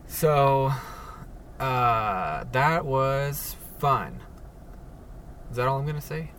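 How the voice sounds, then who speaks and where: A man hosting a casual solo podcast from his parked car, speaking in a monotone with many halting fillers and restarts. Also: mid-range voice, distant mic, slight background noise. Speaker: podcast host. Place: sitting alone in a parked car.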